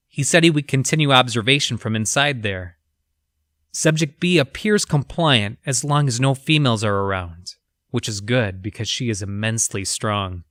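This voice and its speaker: A man plays a male 1960s scientist in an audio drama, reading out his grim journal. His voice is bright and forward at a middle pitch. He reports in a measured, clinical way with an uneasy undertone, recorded completely dry. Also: no echo, lightly acted. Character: 1960s male lab researcher recounting grim experiments, unsettled.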